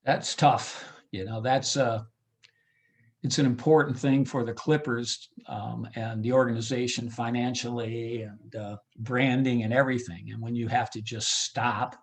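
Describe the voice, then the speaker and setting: A man who works as a baseball PA announcer, chatting casually as an interview guest, often halting as he thinks aloud. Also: medium pitch, steady tone, slightly rough.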